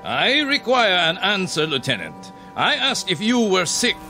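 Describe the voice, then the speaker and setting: A merciless Japanese army captain in a radio drama. The voice is forceful and fairly high, swinging widely in pitch as it gives clipped, contemptuous orders. Faint music plays underneath. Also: male voice, big pitch swings, measured pace, exaggerated voice. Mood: stern, threatening. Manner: clipped, imperious, barking orders.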